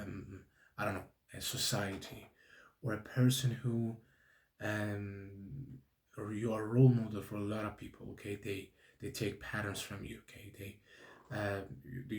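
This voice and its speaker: A man, hosting a solo podcast in English. He talks in a halting way, restarts often and keeps checking in with "okay?". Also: medium pitch, dark tone, reverberant. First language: Persian. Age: 20s. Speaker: podcast host. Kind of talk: casual solo podcast monologue.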